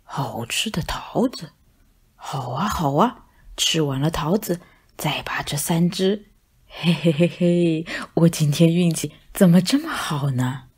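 A woman voicing a big fat male cat in a storybook, blustering and stammering, sly yet soft at heart. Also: low voice, animated, slow pace, breathy edge, voice pushed down, exaggerated voice. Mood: sly scheming.

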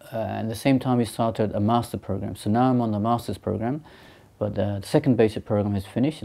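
A man in his thirties, from Sri Lanka and raised in England, explains his path as a monk in an interview. His voice sits low and very dark. He talks in a thoughtful, halting way, full of ums and restarts. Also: creaky. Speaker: Buddhist monk and teacher. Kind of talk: interview answers. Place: small indoor room by a shrine.

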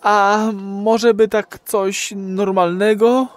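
High-voiced, awkward, hesitant male client. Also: little pitch movement, very slow, dry recording. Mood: embarrassed, hesitant. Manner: hesitant, fumbling for words. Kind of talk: Polish audio drama acting.

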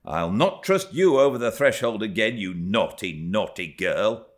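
A man's voice: on the low side, expressive, measured pace. A man voicing a stern but fond woman housekeeper, emphatic and reproachful. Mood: stern scolding.

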